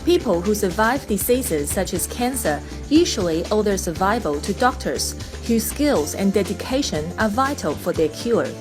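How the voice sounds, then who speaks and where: A woman's voice: mid-range voice, lively intonation, normal speed, few pauses. Female narrator over prominent music. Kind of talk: scripted documentary-style narration.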